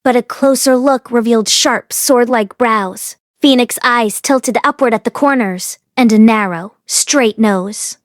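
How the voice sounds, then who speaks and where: A woman reading a fantasy novel aloud as an audiobook narrator, in expressive scripted storytelling. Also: a little high, measured pace, few pauses, no room sound, studio quality. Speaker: audiobook reader and read-along host.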